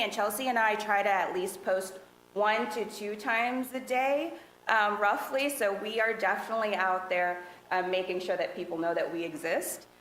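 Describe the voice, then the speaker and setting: A woman presenting to a city council work session, speaking loudly in a relaxed, conversational way with many fillers. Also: medium pitch. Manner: loud voice. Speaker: task force presenter. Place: council meeting room. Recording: echoey meeting room with faint noise.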